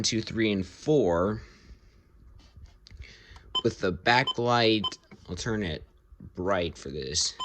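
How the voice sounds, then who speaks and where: A man giving a casual, unscripted review of his hobby collection, talking haltingly and pausing mid-phrase. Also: fairly low pitch, a little hiss or hum. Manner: halting, rambling delivery with pauses mid-phrase.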